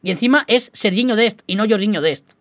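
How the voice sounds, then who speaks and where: A man's voice: high-pitched, expressive, brisk, fairly bright tone, dry recording. A young man with a slight Galician-tinged Spanish accent, chatting casually and rambling to his viewers as a gaming YouTuber, often poking fun at himself. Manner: chatty, rambling, self-mocking, keeps addressing the viewers.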